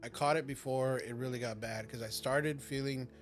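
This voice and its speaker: A man's voice: medium pitch, fairly even intonation, normal speed, soft background music. A man hosting a podcast in casual chat, teasing his co-host with jokes. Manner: teasing, joking.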